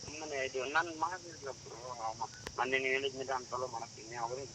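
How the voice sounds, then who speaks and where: A man's voice: medium pitch, rapid-fire, noisy background. A man in his twenties with a Karnataka accent answering a casual phone call in a puzzled, defensive manner. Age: 20s. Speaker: prank call target.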